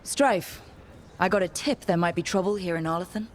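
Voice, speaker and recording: A woman's voice: fairly low pitch, normal speed, a little hiss or hum, natural voice. An actress playing a capable, determined young woman who leads adventurers in a fantasy video game, wry and matter-of-fact. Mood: urgent, determined.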